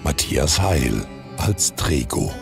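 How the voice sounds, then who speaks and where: A man's voice: very deep voice, big pitch swings, slow, vocal fry, over music. A man reading scripted credits as the announcer of an audio drama.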